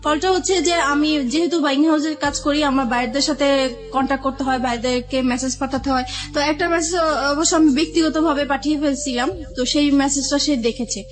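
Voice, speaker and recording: A woman with a high-pitched voice and a Bangladeshi accent shares a personal story as a guest. She speaks in a halting, confessional manner. Music plays softly behind her. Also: steady tone. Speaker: guest telling own life story. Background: background music.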